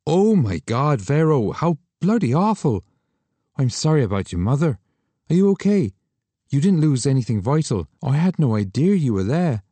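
A man's voice: on the high side, very animated, moderate pace, mellow, dry recording, voice pushed up. Man doing a brash, flirtatious photographer. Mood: shocked, concerned. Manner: teasing, exclamatory. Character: Irish male photographer, flirtatious, brash, excitable.

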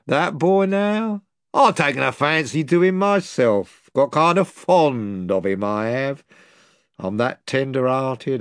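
Oily crook of a pirate slaver, bowing and scraping, read by a man, slow, dry booth sound. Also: fairly high pitch, clean tone, dry recording, pitched above the performer's own voice. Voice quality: oily, wheedling, common speech. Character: wheedling, fawning male pirate slave dealer.

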